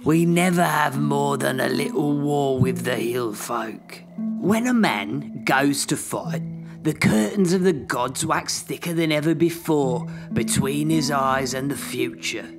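A brooding, uneasy soldier of an ancient kingdom, acted by a man in an audio play. He speaks very slowly in a solemn, poetic way while loud music plays. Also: fairly high pitch. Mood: brooding, ominous. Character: brooding, uneasy soldiers pondering war, gods and kings.

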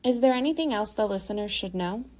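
A woman's voice: mid-range voice, lively intonation, fast, darkish tone. A young woman hosting a podcast, reading out scripted interview questions.

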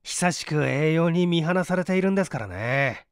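A man's voice: fairly high pitch, expressive, normal speed, clean tone, dry recording, studio quality. A man voicing a hungry, penniless young man, weary but courteous, coaxing and grumbling politely in a dramatised story reading.

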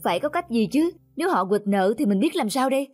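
A woman's voice: a little high, expressive, fast, a little mellow, faint music behind. A woman playing a rich landlord's nagging, calculating wife in a cartoon folk tale.

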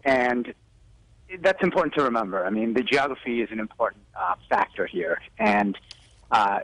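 A man's voice: fairly low pitch, a bit bright, no room sound, rough recording. A man speaking as a doctor in a live telephone news interview, explaining health advice and often saying "you know". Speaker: physician guest expert on a news segment. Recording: telephone interview audio on TV broadcast.

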